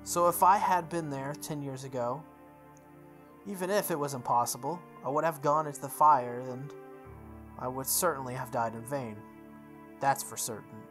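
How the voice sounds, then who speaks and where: Expressive reader stumbling through game text over music. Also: medium pitch, moderate pace. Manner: stumbles over words and repeats phrases. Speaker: gamer reading a visual novel aloud. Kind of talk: playthrough read-aloud with offhand asides.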